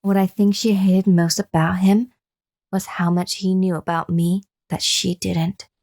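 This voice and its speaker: A woman's voice: on the low side, little pitch movement, a little slow, a little mellow. A woman playing a wounded captive recounting her lover's abuse in a horror radio drama. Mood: somber, reflective. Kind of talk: first-person horror radio drama narration with acted dialogue.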